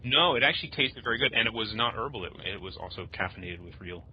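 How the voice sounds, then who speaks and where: Lo-fi, low-voiced man with very lively intonation. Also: fast. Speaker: guest author. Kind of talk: casual humorous interview chat. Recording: dull lo-fi audio, faint noise.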